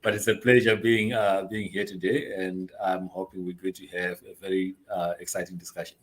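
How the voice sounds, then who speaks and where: Low-voiced guy from zimbabwe, rambly interview talk, lots of uhs, chuckles easily. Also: level tone, moderate pace, a little hiss or hum, rough recording. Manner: hesitant, many ums and uhs, laughs easily. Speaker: guest, investment advisory CEO. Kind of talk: casual interview storytelling.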